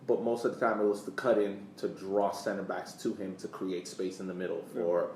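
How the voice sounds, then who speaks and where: A man co-hosting a sports podcast with casual commentary, deliberately repeating words for emphasis. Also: sits low, little pitch movement, darkish tone. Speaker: podcast co-host. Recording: echoey room, distant mic, faint noise.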